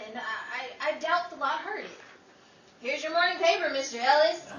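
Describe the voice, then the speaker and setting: A wry young 1930s Hollywood wisecracker, acted by a woman for radio comedy. Her fairly high voice is sarcastic, heard a little way from the mic over clear noise. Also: close to an everyday voice. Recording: echoey room, distant mic, noisy audience.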